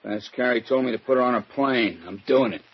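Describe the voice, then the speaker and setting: A man's voice: mid-range voice, fairly quick, breathy edge, close to an everyday voice. An actor playing a wary, stubborn gangster's henchman in a 1940s radio drama, blunt and suspicious in manner. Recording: muffled, noisy vintage radio recording.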